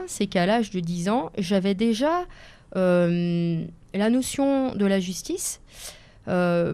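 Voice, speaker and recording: A woman in her forties whose first language is Italian speaks as a guest on a radio interview. Her voice is mellow and soft, recorded close and dry in the studio, and she talks with frequent hesitations, fillers and careful restarts. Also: mid-range voice, no echo. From France, Seine-et-Marne. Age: 40s. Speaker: lawyer and author guest.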